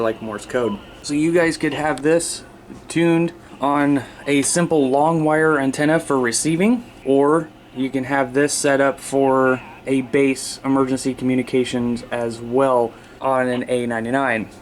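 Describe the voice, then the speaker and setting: A man's voice: medium pitch, fairly even intonation, unhurried, a little hiss or hum. A man talking casually and informally as a video host, showing and explaining equipment to his viewers. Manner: informal, conversational, repeatedly addressing viewers as you guys. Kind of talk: casual instructional talk, demonstrating radio gear.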